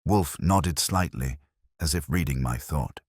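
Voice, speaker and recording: Detective fiction narrator, male: very deep, husky voice, dry close sound, dramatic scripted read. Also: a little slow, a little mellow, dry recording. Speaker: audiobook narrator.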